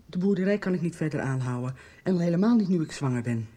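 An actress playing a wounded but resolute wife in a Dutch radio soap. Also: female voice, sits low, animated, quick pace, dark tone, husky.

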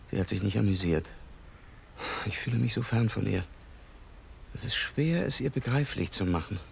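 A gravelly, dejected lovelorn gentleman. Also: on the low side, expressive, normal speed, a little hiss or hum. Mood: dejected, insistent. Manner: subdued, insistent.

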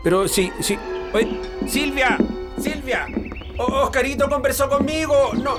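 A man's voice: extremely high pitch, expressive, unhurried, over loud music. An actor playing a placating working-class Chilean father and husband in a radio drama, hesitant and soothing. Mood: flustered, pleading. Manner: hesitant, soothing, stammering under pressure.

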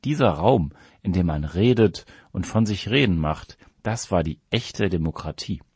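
A man with a low voice reads a scripted political commentary. His tone is husky and the recording is dry, with no room around the words. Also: lively intonation, normal speed, a little mellow, no room sound. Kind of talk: scripted political essay read aloud.

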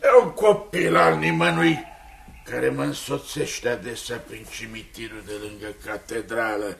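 An actor playing a humble, gruff graveyard stonemason in a radio drama, with a gravelly voice and a deferential, rustic manner. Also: male voice, medium pitch, steady tone, noisy background. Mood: explaining. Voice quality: very creaky, gravelly.

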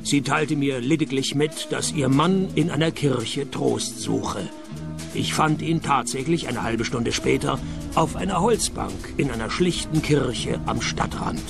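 An actor playing a cynical private detective who narrates a radio play in a dry, sardonic tone. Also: male voice, medium pitch, a little slow, vocal fry, over music. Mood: deadpan. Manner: deadpan, sardonic.

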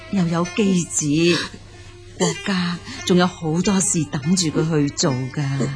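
A woman playing a selfless, loyal old maidservant in a radio drama, speaking in an earnest, measured way. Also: lowish voice.

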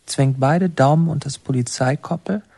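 An actor playing a sullen young delinquent, narrating intensely and curtly in a radio drama. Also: male voice, on the high side, moderate pace, gravelly, mellow, breathy edge, dry recording. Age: young adult. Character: sullen young male delinquent recounting his father.

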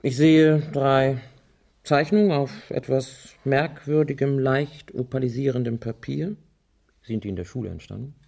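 Mellow, wry, analytical man. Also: mid-range voice, gravelly. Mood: analytical, dry. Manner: deliberate, dry, thinking aloud. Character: wry, easygoing man turned methodical amateur analyst. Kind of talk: German radio crime drama dialogue.

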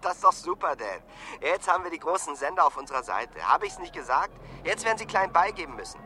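An actor playing an eager, optimistic young son in a radio drama, talking in an insistent, coaching way with a husky edge. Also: male voice, fairly high pitch, lively intonation, fast talker, pushed and bright, soft background music. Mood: excited. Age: young adult. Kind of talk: naturalistic radio drama acting.

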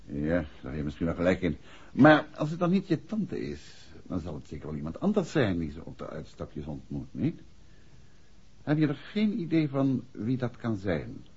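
A man playing a patient, middle-aged gentleman detective who questions in a measured, coaxing way in a 1960s radio play. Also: low-pitched, huge pitch swings, breathy edge, full character voice. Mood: inquisitive. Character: probing, gentlemanly male detective, patient and suave. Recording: dull 1960s radio drama recording.

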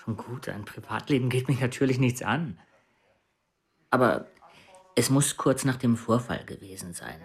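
Nosy cop colleague in a radio drama, husky, casual but probing. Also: male voice, medium pitch, moderate pace, long pauses.

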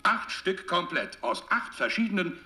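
An actor playing a big, boastful American burger boss making a folksy, pompous sales address in a radio drama. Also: male voice, high voice, big pitch swings, moderate pace, sharp tone, exaggerated voice. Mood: boastful, rousing. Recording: echoey taped local radio spot with music. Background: music.

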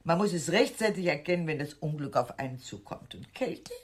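An actress playing a whimsical, superstitious aunt of about sixty in a German radio drama. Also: female voice, low voice, expressive, brisk, breathy edge. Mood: ominous worry. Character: eccentric sixty-year-old aunt, superstitious, whimsical and blithe.

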